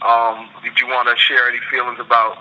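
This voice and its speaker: A man's voice: mid-range voice, completely flat delivery, fairly quick. Man asking questions on a muffled phone call. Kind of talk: press conference call questioning. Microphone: telephone conference call. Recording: muffled phone line, faint noise.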